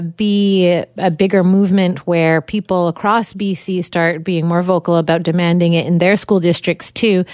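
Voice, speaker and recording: Woman radio host, mid-pitched, direct probing questions, dry studio sound. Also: a little mellow, dry recording. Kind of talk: radio interview.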